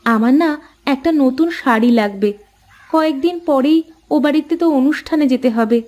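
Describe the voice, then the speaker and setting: A woman's voice: high voice, normal speed, mellow, muffled recording, natural voice. A woman voicing a sulky, nagging young village housewife in a cartoon, wheedling and complaining. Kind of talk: cartoon voice acting in Bengali family story.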